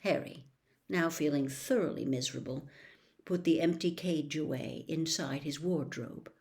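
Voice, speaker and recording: A woman narrating a story as an audiobook reader, expressive and dramatic, with emphatic phrasing. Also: low voice, moderate pace.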